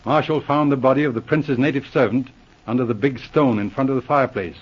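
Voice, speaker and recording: An actor playing a brisk, no-nonsense male police inspector in a 1940s radio drama, who speaks in a crisp, commanding, matter-of-fact way. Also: mid-range voice, natural voice. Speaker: lead investigator. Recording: dull lo-fi 1940s radio recording.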